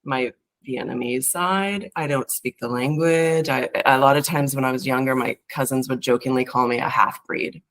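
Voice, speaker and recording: A woman's voice: extremely low pitch, normal speed, hardly any pauses, a bit bright. A woman from California, a therapist being interviewed, talking in a rambling, reflective way with many fillers and restarts. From California, United States. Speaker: therapist, podcast guest. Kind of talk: casual interview talk.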